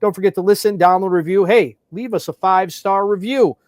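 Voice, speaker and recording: A man hosting a sports podcast in a conversational, animated way, repeating phrases for emphasis. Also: high-pitched, fairly quick, no echo.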